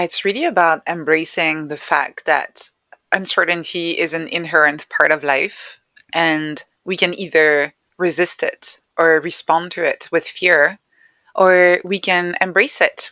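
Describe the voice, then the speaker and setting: A woman with a fairly low, clearly bright voice talks reflectively as an interview guest. She explains things articulately in a conversational way, and the sound is dry, with very little room on it. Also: no room sound. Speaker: podcast guest, author and neuroscientist.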